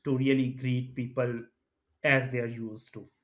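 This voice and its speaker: A man's voice: medium pitch, flat delivery, unhurried. A man from Pakistan co-hosting a business culture talk, speaking thoughtfully with many restarts. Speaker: co-host. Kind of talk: conversational podcast discussion.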